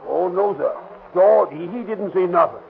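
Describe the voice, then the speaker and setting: A jittery, timid witness who trips over every word and fills his answers with hesitant stalls. His voice sits high and very gravelly, and it comes through a narrow, tinny old radio recording. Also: moderate pace. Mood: flustered. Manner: stammering, hesitant, folksy ungrammatical speech. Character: nervous stammering male witness, timid. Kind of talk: 1930s radio drama acting.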